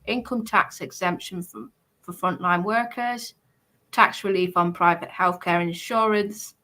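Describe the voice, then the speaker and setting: A British woman presenting informally, reading a list aloud in a halting, explanatory way and adding casual asides. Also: fairly low pitch, bright, forceful tone. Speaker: presenter going through party policies.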